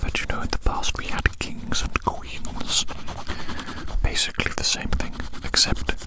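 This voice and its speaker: Hushed ASMR whisperer, completely unvoiced. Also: a little slow. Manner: rambling, repeats phrases softly, addresses listener as a friend. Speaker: ASMR performer. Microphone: very close to the microphone.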